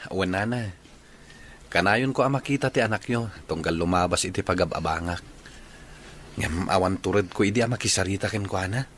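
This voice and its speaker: A polite young filipino suitor, fairly low voice, radio drama. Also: male voice, moderate pace, fairly bright tone, a little hiss or hum. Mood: respectful. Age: young adult. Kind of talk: Philippine radio drama acting.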